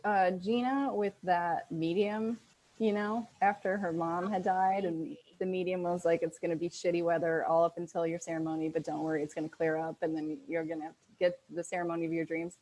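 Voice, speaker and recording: A woman's voice: lowish voice, level tone, fairly quick, a little mellow, rough recording. Blunt, wry woman telling an anecdote. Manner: blunt, wry, salty-tongued. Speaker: wedding vendor. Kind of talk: casual chat, anecdote telling.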